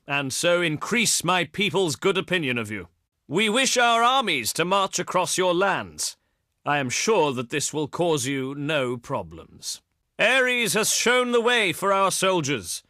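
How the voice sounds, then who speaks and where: Pompous, animated ancient Greek diplomat. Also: male voice, high voice, no echo, studio quality, heavily acted. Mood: haughty, commanding. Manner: pompous, oratorical, deliberate phrasing. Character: haughty ancient Greek diplomat, pious and slyly threatening. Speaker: video game voice actor. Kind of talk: theatrical scripted game dialogue.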